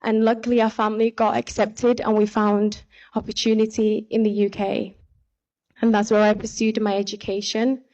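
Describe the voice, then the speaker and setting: Young woman, earnest, measured, podium mic in a big hall, flat delivery, dull sound. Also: medium pitch. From Somalia. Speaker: pageant contestant. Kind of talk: prepared stage speech. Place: large indoor hall on stage. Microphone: podium microphone on stand.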